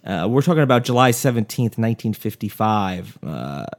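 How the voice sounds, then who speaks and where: A man co-hosting a casual podcast, hoarse from losing his voice, rambling with lots of fillers. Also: on the low side, big pitch swings, moderate pace. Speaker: podcast co-host. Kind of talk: casual history podcast chat.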